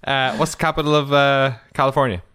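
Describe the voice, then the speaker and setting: A man's voice: mid-range voice, fairly even intonation, fast talker, sharp tone, clear, resonant tone. A man, co-hosting a podcast in casual chat, joking and laughing often. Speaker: podcast co-host.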